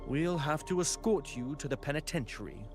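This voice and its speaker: A man's voice: a little high, animated, brisk, natural voice. A man voicing a haughty, menacing enforcer of a religious order in a video game, speaking in a pompous, formal and sanctimonious way. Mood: stern, condescending. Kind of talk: scripted game voice acting. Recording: background music.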